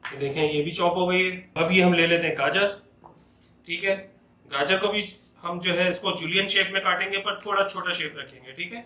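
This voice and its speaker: A man's voice: high-pitched, fairly even intonation, normal speed, bright, forceful tone, roomy sound. A middle-aged man hosting a home cooking channel, explaining each step patiently while he chops at the counter. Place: home kitchen, indoors.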